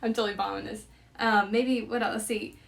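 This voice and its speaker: A young woman hosting a casual chat, chatty and quick to laugh as she tries out accents. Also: mid-range voice, steady tone, vocal fry. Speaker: host.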